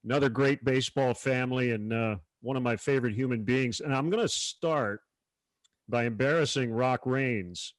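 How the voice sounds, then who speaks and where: A man's voice: mid-range voice, moderate pace, dry recording. A man working as an announcer, hosting a virtual sports panel discussion. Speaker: panel host, baseball announcer.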